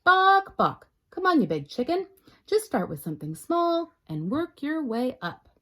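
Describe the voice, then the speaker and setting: Ladybug best friend for a picture book, done by a woman: high-pitched, animated, female, an encouraging cheerleader. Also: measured pace, full character voice. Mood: coaxing. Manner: bright coaxing, cheerleading. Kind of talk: children's storybook character voice.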